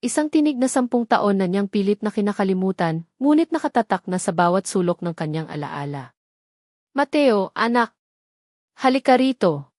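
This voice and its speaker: A woman's voice: medium pitch, lively intonation, brisk, darkish tone, dry recording, studio quality. A woman with a Filipino accent reading a scripted, dramatic story as its narrator.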